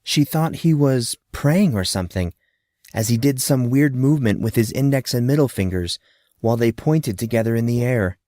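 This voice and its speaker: A man's voice: mid-range voice, animated, moderate pace, dark tone, no echo, clean studio sound. A man narrating scripted fiction expressively, in a storybook manner that dramatizes a child's thoughts.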